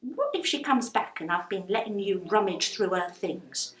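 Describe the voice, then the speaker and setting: A woman's voice: mid-range voice, expressive, normal speed, fairly bright tone, roomy sound, natural voice. A woman voicing a chatty, blunt working-class London landlady in a children's storybook. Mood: wary, brisk. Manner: chatty, blunt, colloquial non-standard grammar.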